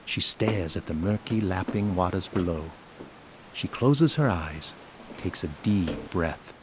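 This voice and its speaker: A man's voice: deep voice, animated, slow pace, noisy background. A man reading scripted dramatic narration for an audio drama.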